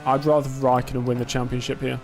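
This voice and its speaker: A man's voice: mid-range voice, little pitch movement, fast talker, background noise. A man in his twenties chatting casually on a live stream while racing, answering chat and talking himself through the pressure. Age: 20s. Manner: chatty, reads and answers chat, self-talk under pressure.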